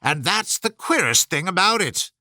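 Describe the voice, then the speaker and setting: Man as nervous storybook rabbit, respectful, fussy, raised sharp voice, animated pitch, courtly herald. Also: moderate pace, no echo, exaggerated voice. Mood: eager.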